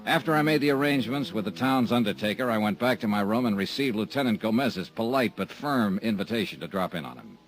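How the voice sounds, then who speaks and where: Male lead as hard-boiled insurance sleuth, low voice with animated inflection, deadpan wit, vintage radio. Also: quick pace, few pauses, slight background noise, natural voice, poor audio. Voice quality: dry, sardonic, tough-guy delivery. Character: wisecracking male insurance investigator, tough and dry. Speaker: lead actor and narrator. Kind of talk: 1950s radio drama acting and narration.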